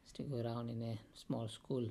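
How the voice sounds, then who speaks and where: A man tells his life story haltingly, full of pauses and fillers. His voice is gravelly and dark, with a mellow, low tone throughout. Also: flat delivery. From India. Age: 50s. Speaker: oral history interviewee.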